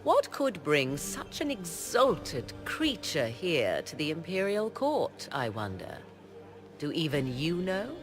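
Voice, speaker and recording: An actress playing a sly, mocking court sorceress in a video game, with theatrical, drawn-out phrasing. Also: female voice, sits low, big pitch swings, over music, full character voice. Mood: mocking, intrigued. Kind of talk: video game dramatic acting.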